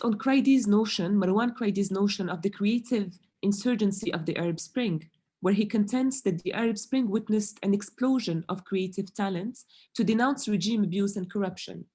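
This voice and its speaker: Young woman from Morocco, researcher presenting at a conference; mellow, warm tone, measured and careful, pausing often on fillers. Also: medium pitch. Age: young adult.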